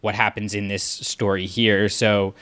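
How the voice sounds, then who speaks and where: A man's voice: on the low side, little pitch movement, a little slow, fairly bright tone. A man co-hosting a podcast, chatting casually with many fillers and restarts. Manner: rambling, lots of fillers and restarts. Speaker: podcast co-host. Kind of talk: casual chat.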